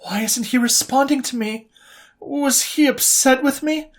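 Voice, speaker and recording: A woman's voice: high-pitched, measured pace, voice pushed up. A storyteller voicing an anxious young woman officer who stammers and pleads, spiraling into self-blame.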